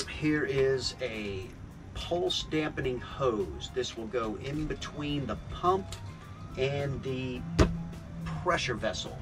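An older man speaks at an ordinary mid-range pitch as he chats about his gear. His manner is relaxed and upbeat, with easy fillers. He is recorded by a camera a few feet off inside a small boat cabin, and music plays clearly under his voice. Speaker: sailing vlogger. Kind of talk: casual vlog update. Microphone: camera on a tripod a few feet away.

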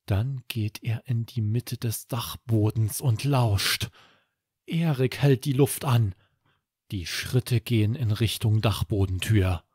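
A man's voice: medium pitch, slow, a little mellow, breathy edge, no echo, studio quality. A man narrating a scripted bedtime story for children as the host.

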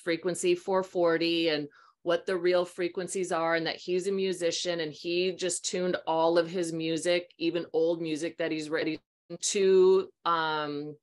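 A woman in her forties with a slightly low voice tells a story in a lively, chatty way over a video call. She speaks from a small room at home into a webcam mic and slips into voices as she retells what people said. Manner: animated, chatty, acts out voices in her stories. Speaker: host, sound healing practitioner.

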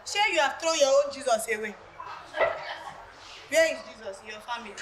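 Woman acting as a bossy mother-in-law, Yoruba accent, lecturing, fairly high voice, noisy echoey hall. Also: brisk, lightly acted. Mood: scolding lecture. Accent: Nigerian Yoruba. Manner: scolding, lecturing, mixing Yoruba. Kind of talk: live stage acting. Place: church hall stage. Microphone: handheld mic.

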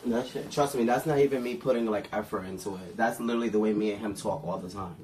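A man's voice: fairly low pitch, fast talker, darkish tone, a little hiss or hum. A young man in his twenties, casually chatting on a home livestream in a brash, profane, campy way. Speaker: livestream co-host. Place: living room at home.

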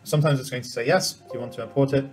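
A man's voice: mid-range voice, fairly even intonation, very fast, faint music behind. A man presenting an informal screen-share tutorial, explaining each step as he demonstrates it. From Cambodia.

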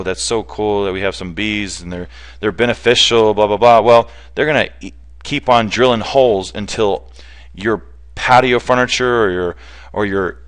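A man giving an informal, unscripted explanatory presentation in a conversational, plain-spoken way, as a hands-on specialist presenter. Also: fairly low pitch. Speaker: bee removal specialist presenter.

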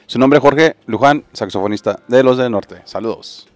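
A man's voice: mid-range voice, moderate pace, no echo. A man from Texas, a saxophonist, greeting listeners in a friendly way during a casual group interview.

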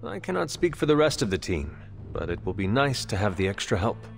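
A man's voice: lowish voice, very animated, gravelly, big-room sound, faint music behind. A man playing an earnest, composed warrior hero in a video game, formal and polite. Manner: formal, measured, polite, without contractions. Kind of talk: dramatic video game dialogue.

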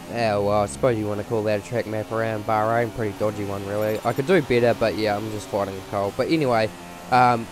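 A man with a voice strained from a cold, giving rambling, casual commentary over a racing game with many fillers. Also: medium pitch.

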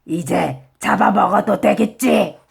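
A woman's voice: mid-range voice, slow, creaky. A woman voicing a sly, ungrateful male tiger in a children's storybook, switching between false politeness and bullying. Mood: menacing, smug.

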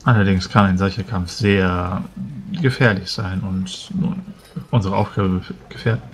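A man playing a cautious, reproving adventurer in a tabletop game, with measured, formal phrasing. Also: deep voice, fairly even intonation, slight background noise. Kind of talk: tabletop roleplay in character.

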